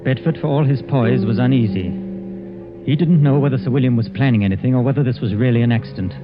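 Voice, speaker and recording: Actor as tense radio narrator over loud music. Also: male voice, medium pitch, fast talker, close to an everyday voice. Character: tense dinner guest narrating his suspense tale. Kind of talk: dramatic 1940s radio narration. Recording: dull old radio recording.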